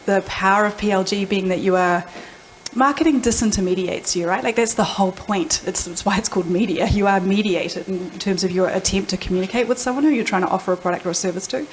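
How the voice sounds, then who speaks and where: A woman with a New Zealand accent and a mid-pitched voice talks informally as a panelist, with a joking streak. The live event recording carries faint background noise. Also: fast, low-quality recording. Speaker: panelist, product executive. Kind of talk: conference panel discussion.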